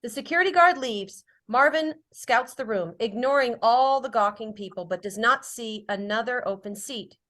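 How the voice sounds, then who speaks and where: High-voiced woman narrating a script. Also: moderate pace, few pauses, a bit bright. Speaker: narrator reading stage directions. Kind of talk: scripted narration at a table read.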